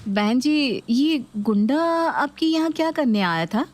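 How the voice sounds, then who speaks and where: A woman's voice: high-pitched, expressive, measured pace, darkish tone, pitched above the performer's own voice. A woman voicing a sly female tenant, a scheming and gossiping meddler, in a dramatised story. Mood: meddling. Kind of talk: dramatised Hindi story video.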